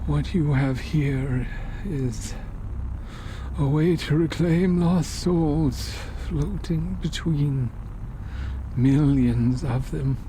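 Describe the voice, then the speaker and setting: Man voicing a slow, genteel, sinister occultist. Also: fairly high pitch, mellow, a little hiss or hum, pitched above the performer's own voice, rough recording. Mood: solemn persuasive. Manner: measured, polite, condescending, lecturing. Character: genteel, sinister older male occultist with walking cane. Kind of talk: horror story character dialogue.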